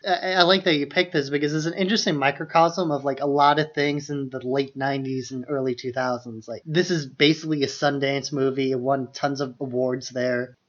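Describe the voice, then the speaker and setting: A man's voice: medium pitch, steady tone, fairly quick, few pauses, fairly bright tone. A man co-hosting a podcast, chatting casually about films in very informal speech peppered with "like". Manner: informal, leans heavily on "like". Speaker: podcast co-host. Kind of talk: casual film chat. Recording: dull, lo-fi podcast audio.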